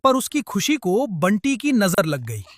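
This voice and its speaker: Lively, theatrical cartoon narrator. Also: medium pitch, unhurried, dry recording, clean studio sound. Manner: theatrical, playful. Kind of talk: rhyming, playful scripted cartoon narration in Hindi. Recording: music clearly behind the voice.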